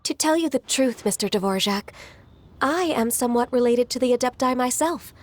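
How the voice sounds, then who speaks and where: A woman playing a gentle, polite and earnest young half-human secretary in an anime video game. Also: fairly high pitch, animated, normal speed, close to an everyday voice. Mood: gentle, sincere.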